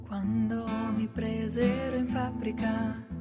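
A woman's voice: fairly high pitch, level tone, slow pace, loud music behind the voice. A woman, an actress-narrator performing a lyrical theatrical monologue.

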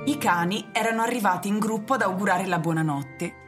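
A woman's voice: medium pitch, fairly quick. A woman narrator reading literary prose for a radio drama. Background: prominent music.

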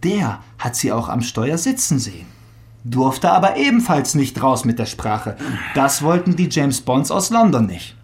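A man's voice: medium pitch, sing-song, measured pace, a little mellow. A man playing an eager, teasing young police detective in a naturalistic German radio crime drama, with a wry, conversational delivery. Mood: pressing.

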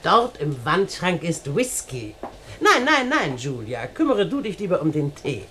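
An actress playing a wealthy, condescending older aunt in a German radio play, speaking standard German in a deliberate, haughty manner. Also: female voice, fairly low pitch, big pitch swings, a bit bright, slight background noise. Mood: condescending, bossy. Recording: studio radio drama recording.

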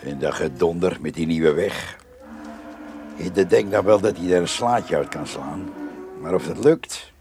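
A man playing a gruff, rustic farmhand in a radio drama, muttering and rambling to himself. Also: low-pitched, a little slow, music in the background. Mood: musing, amused.

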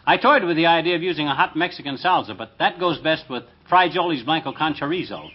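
A man playing an excitable, boastful husband in old radio comedy, folksy and self-important, with a husky voice. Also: fairly high pitch, quick pace, slight background noise, close to an everyday voice. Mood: proud. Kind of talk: 1950s radio comedy acting. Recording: dull, lo-fi old radio recording.

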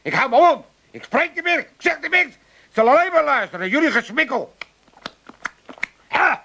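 High-pitched grumbling old Amsterdam father. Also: very animated, normal speed, gravelly, bright, forceful tone, muffled recording, a little hiss or hum, heavily acted. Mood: sulky, bustling. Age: older. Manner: grumbling, theatrical. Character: cantankerous, mocking old Amsterdam father, fond of food. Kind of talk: comic radio play acting.

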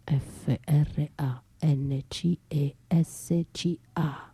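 An actress playing an anguished, bitterly lyrical battered wife left for dead, in an intimate, halting radio theatre monologue that drifts into whispers. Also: female voice, low voice, fairly even intonation, warm tone, slightly rough, full character voice. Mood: dreamy, broken. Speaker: stage and radio actress performing her own monologue. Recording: roomy echo, faint music behind.

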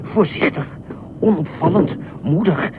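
An actor in a Dutch radio play as an anxious, obsessive widowed ex-fireman who speaks haltingly, repeats himself and nitpicks. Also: natural voice. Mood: agitated, anxious. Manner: halting, repetitive, pedantic, fretful. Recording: muffled narrow-band old radio recording, faint rumble.

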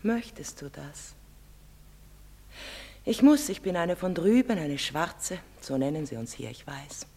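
A proud, sorrowful mother in a stage drama, with a very low and somewhat husky voice. She speaks with solemn, measured emphasis, and a long hall reverb carries every phrase. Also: expressive, mellow, exaggerated voice. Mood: wistful, tender. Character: proud, sorrowful middle-aged foreign mother, secretive and intense. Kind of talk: German stage-drama acting.

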